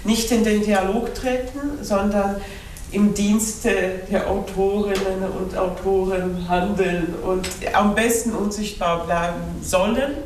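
A woman whose first language is Belarusian, speaking thoughtfully in a panel discussion and pausing often with fillers. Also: medium pitch, steady tone, a little mellow, a little hiss or hum. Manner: thoughtful, hesitant, many fillers. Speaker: translator and moderator. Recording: echoey room from far off.

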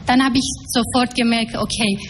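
A woman's voice: a little high, steady tone, moderate pace. Young Afghan woman speaking in a hall. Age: 20s. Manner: hesitant, many fillers, searching for words. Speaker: panel guest, activist. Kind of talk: public panel talk. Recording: echoey hall, faint noise.

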